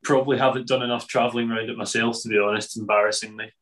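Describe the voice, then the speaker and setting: A man hosts a podcast interview in an easygoing, casual manner. His voice sits at a middle pitch. He is self-deprecating and chuckles often between questions. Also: steady tone, rapid-fire, fairly bright tone. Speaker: podcast host.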